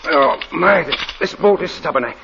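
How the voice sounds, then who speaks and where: Anxious, mild minister on noisy old radio. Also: male voice, a little high, normal speed, vocal fry, muffled recording. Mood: flustered, polite. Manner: fussy, hesitant, stammering politely. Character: mild, anxious male minister, stranded traveler, courteous. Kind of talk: 1940s radio drama acting.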